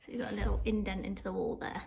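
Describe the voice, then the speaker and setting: A woman's voice: medium pitch, fairly even intonation, rapid-fire, gravelly, a little mellow. A woman casually showing viewers around an empty house, recorded on a handheld phone. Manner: chatty, narrating as she walks, often drops to a whisper. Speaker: vlogger touring a house. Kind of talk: casual home tour. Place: empty new-build house, bare rooms. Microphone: phone held while filming.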